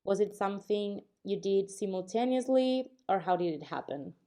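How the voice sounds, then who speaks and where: A woman hosting a podcast, reading an earnest introduction and then gently asking her guest questions. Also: medium pitch, expressive, normal speed. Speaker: podcast host.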